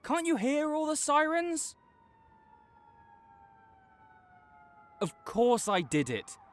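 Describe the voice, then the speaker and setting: A man's voice: extremely high pitch, sing-song, unhurried, full character voice. A man acting a cocky, teasing but secretly caring supervillain rival in a dramatic audio roleplay, bantering and exasperated. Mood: taunting, impatient. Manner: bantering, exasperated, faltering into awkward concern.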